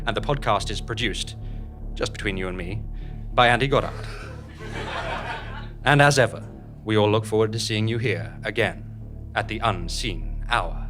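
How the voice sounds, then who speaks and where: A man giving scripted narration and announcements in a formal, portentous manner. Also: mid-range voice.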